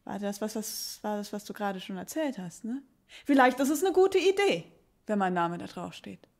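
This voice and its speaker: A young woman improvising an evasive, money-minded character in a stage audio drama, in a halting manner. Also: medium pitch, lively intonation, a little mellow, close to an everyday voice. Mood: defensive, evasive. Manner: halting, self-interrupting. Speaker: improv actress. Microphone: stand microphone at a table.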